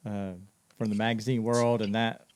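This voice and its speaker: A man's voice: fairly low pitch, flat delivery, moderate pace, a little mellow, smooth. A man co-hosting a podcast, informal and encouraging, with lots of fillers. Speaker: podcast co-host. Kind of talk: casual podcast conversation.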